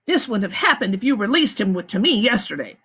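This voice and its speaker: A woman reading aloud, voicing a fierce, small female attorney who speaks loudly and sharply. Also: mid-range voice, very animated, fast, slightly rough, full character voice. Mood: forceful. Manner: forceful, sharp and loud.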